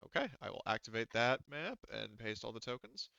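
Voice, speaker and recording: Clear-voiced man running a tabletop game. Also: mid-range voice, big pitch swings, pushed and bright, dry recording, lo-fi sound. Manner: halting, thinking aloud, casually profane. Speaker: game master. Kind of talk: casual tabletop game narration and chat.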